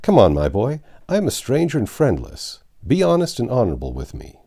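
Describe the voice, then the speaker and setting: A man's voice: mid-range voice, wildly swooping pitch, a little fast, warm tone, breathy edge, exaggerated voice. A man voicing a bewildered, practical Yankee stranger in a storybook, with a folksy, questioning manner. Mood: incredulous.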